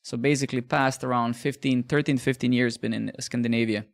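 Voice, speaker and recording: Gravelly man. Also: medium pitch, fairly even intonation, brisk. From Bosnia. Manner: chatty, restarts phrases, answers viewers' questions. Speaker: live coding streamer. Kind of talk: casual live-stream chat while coding.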